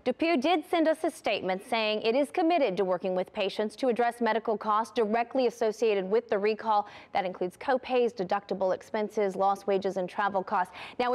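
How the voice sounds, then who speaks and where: A woman investigative reporter presenting her story in a television newscast. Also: mid-range voice.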